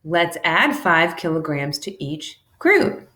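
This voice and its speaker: A woman reads a children's educational graphic novel aloud. Her pace is slow and deliberate, and her slightly low voice moves with plenty of expression as she shifts between character lines. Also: big pitch swings, bright, forceful tone. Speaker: teacher reading a children's math book aloud.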